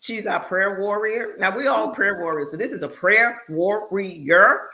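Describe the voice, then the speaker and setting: A woman hosting a live online show, chatting in an animated, emphatic way and speeding up in bursts. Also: medium pitch, a little slow, poor audio. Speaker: show host and speaker.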